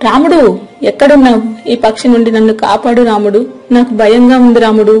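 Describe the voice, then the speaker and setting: A woman voicing a gentle, anxious young mermaid princess in a storybook tale, with a pleading, emotive delivery. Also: a little high, fairly even intonation, fairly quick, faint music behind, close to an everyday voice, poor audio. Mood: frightened. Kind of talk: dramatic children's storybook acting.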